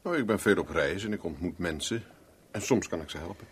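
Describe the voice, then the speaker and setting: An actor playing a cool, persistent private investigator in a 1970s radio play, dry, guarded and polite. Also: male voice, low-pitched, a little fast, a little hiss or hum, lightly acted.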